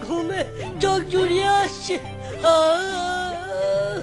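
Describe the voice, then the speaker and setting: A woman's voice: very high voice, level tone, extremely slow, creaky, heavily acted. A woman voicing a grumpy, stingy sweet-shop owner and father in a cartoon, with a scolding, dismissive manner. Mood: drowsy, bossy. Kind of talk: cartoon voice acting. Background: background music.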